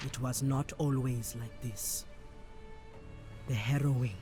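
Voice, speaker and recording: An actress playing a calm, devout warrior priestess of a sea goddess in a video game, speaking in a measured, solemn way. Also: female voice, very deep voice. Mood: grave. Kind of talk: dramatic video game acting. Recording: music under the voice.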